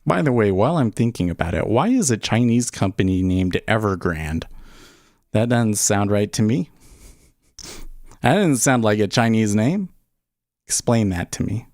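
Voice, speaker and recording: Low-voiced man chatting on a trading livestream. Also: expressive, normal speed, long pauses, darkish tone, no room sound, clean studio sound. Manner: conversational, often checks in with "right?". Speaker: livestream host, day trader. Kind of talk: casual livestream chat, thinking aloud.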